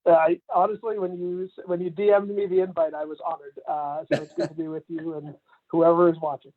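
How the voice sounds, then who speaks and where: A man's voice: fairly high pitch, brisk, narrow, tinny audio. A man around forty, a campaign manager, talking casually in a remote interview, laughing often between his points. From Canada, raised in Atlanta. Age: 40s. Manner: relaxed, laughs often, many fillers.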